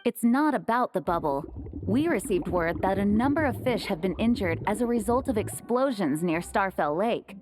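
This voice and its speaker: A woman's voice: mid-range voice, lively intonation, normal speed, over music, natural voice. An actress playing a dutiful young female knight in a video game, polite and formal, apologetic but firm. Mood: official, firm. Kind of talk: video game character acting.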